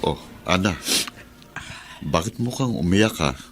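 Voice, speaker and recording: A man playing a caring, frank older neighbour with a gravelly, husky voice, advising with concern in a radio drama. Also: deep voice, expressive, a little slow, faint music behind, exaggerated voice.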